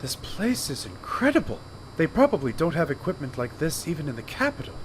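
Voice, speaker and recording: A man's voice: a little high, huge pitch swings, brisk, slight background noise, low-quality recording. A man playing a courteous, inquisitive scholarly doctor in an audio drama, with precise and formal wording. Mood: curious, impressed. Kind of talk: fan audio drama acting.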